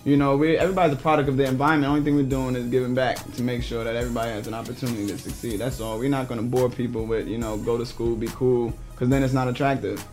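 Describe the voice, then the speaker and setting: A man's voice: medium pitch, steady tone, a little mellow. A man guest on a radio show, talking casually about his label and foundation with lots of fillers. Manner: fast, laid-back, frequent fillers. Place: radio studio. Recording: faint music behind.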